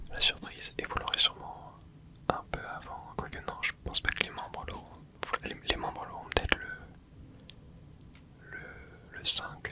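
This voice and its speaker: An ASMR creator giving soft, whispered commentary while playing a video game, rambling and hesitant with lots of fillers and restarts. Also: extremely high pitch. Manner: soft unvoiced whisper throughout.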